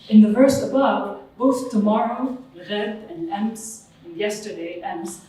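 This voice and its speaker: A woman from Lebanon giving an academic lecture on Arabic poetry, measured and thoughtful with some hesitations. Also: mid-range voice, warm tone. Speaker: guest lecturer on Arabic literature. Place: lecture room at a cultural center. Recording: distant mic in a very echoey hall.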